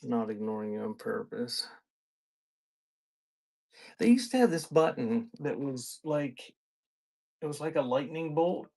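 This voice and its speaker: A man's voice: mid-range voice, animated, measured pace, gravelly, pauses often, warm tone. A man hosting a live sale in casual, off-the-cuff chat, half muttering to himself as he works and half addressing viewers. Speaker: live auction seller, host.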